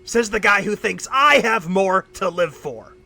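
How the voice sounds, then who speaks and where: A concerned, wry mechanic friend played by a man in an audio drama. He speaks earnestly and haltingly in a slightly high, sharp voice with a marked creak. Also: heavily acted. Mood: incredulous. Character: worried, loyal male mechanic friend, irreligious and wry.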